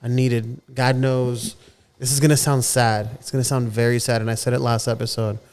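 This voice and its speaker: A man in his thirties, co-hosting a podcast, talking earnestly in a relaxed chat and often repeating words as he gathers his thoughts. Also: mid-range voice, moderate pace. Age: 30s. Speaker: podcast co-host. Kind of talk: casual heartfelt chat.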